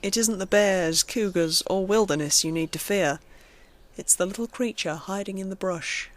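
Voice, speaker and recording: A horror narrator reading a scripted creepy tale. The delivery is slow and measured, leaning into dramatic pauses to build dread. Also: mid-range voice.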